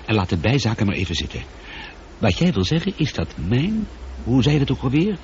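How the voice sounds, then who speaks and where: A man playing an excitable, sceptical space traveller in a radio drama, questioning bluntly and with feeling. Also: medium pitch, a little fast. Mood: sceptical, probing. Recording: dull, noisy old radio drama recording.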